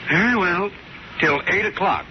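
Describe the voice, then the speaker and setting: Skeptical suburban dad, firm and dry, expressive range, very noisy vintage radio transfer. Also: male voice, high-pitched, normal speed. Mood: wry. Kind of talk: 1950s radio drama acting. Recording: noisy muffled old radio broadcast recording.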